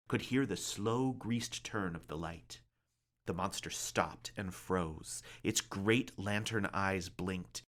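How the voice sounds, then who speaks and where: A man narrates a somber tale in a theatrical read-aloud style. His voice sits a little low but has a bright, forceful edge. The phrasing is deliberate and dramatic, like a librarian performing a story.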